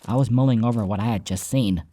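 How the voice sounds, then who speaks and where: A man's voice: lowish voice, quick pace, dark tone. A man reading a scripted first-person story as an audiobook narrator, with soundtrack music behind him.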